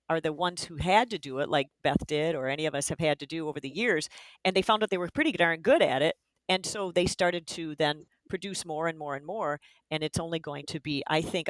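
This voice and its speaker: A woman's voice: deep voice, no room sound, rough recording. A woman co-hosting a podcast about community bands, talking with enthusiasm in long, run-on sentences. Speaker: podcast co-host, band director. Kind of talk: casual conversation.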